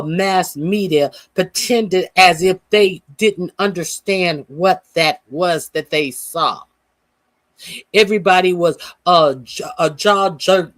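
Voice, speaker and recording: A man hosting a political commentary monologue, speaking haltingly with many "uh"s and a tone of exasperated disbelief. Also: high-pitched.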